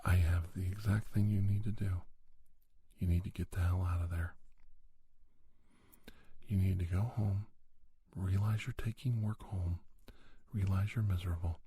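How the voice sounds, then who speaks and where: A man hosting an ASMR podcast, giving personal advice in a soft, breathy whisper with deliberate, repetitive phrasing. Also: fairly low pitch. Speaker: podcast host.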